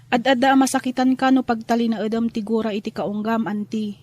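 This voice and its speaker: An actress playing a caring, pious niece who gently admonishes, in an Ilocano drama with an Ilocano accent. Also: female voice, fairly high pitch, level tone, brisk, natural voice. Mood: pleading, consoling. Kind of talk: Ilocano radio-style drama acting.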